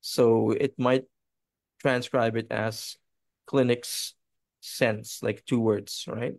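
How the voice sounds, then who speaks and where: A man's voice: medium pitch, level tone, takes it slowly, darkish tone, no room sound. A man whose first language is Filipino, speaking as a video editor in a casual webinar Q&A, loose and conversational, often checking in with listeners. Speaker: video editor, guest presenter.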